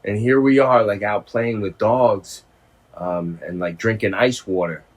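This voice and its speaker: A middle-aged man in a casual phone conversation, speaking informally and haltingly, with some swearing. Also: on the low side. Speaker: host, former prisoner.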